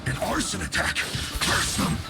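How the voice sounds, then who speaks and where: An actor playing a gruff enemy soldier in a video game, shouting orders to rally his comrades. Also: a little slow, faint music behind. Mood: outraged.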